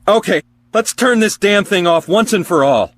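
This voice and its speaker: High-pitched, fed-up, sardonic father. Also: animated, moderate pace, a bit bright. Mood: bitter defiance. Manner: sarcastic, volatile. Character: fed-up, sardonic father rebelling against his machine. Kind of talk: radio drama acting.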